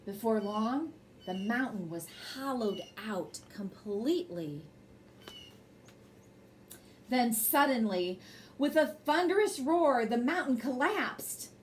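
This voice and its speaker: A woman reads a bedtime story in a fairly high, very animated voice. She is expressive and speaks directly to young listeners. There is some faint noise behind her. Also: slow pace, pauses often. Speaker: storybook reader and host. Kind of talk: bedtime story read aloud with brief asides.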